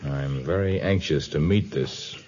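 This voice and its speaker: An actor playing a calm, principled jungle hero with a measured, formal manner in a 1950s radio drama. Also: male voice, very deep voice. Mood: firm, measured. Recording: dull, hissy 1950s radio recording.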